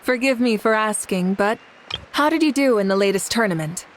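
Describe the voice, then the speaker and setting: A woman voicing a composed female fire goddess who leads her nation, calm, polite and measured in a video game cutscene. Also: mid-range voice, slight background noise, close to an everyday voice. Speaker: leader. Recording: game audio with faint music.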